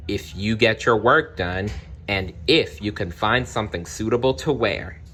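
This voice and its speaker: Guy doing a mean stepmother for a storybook, imperious and sly, rough edge to the voice. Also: fairly low pitch, measured pace, pushed and bright, full character voice. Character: cold, commanding, sly stepmother ordering a servant girl.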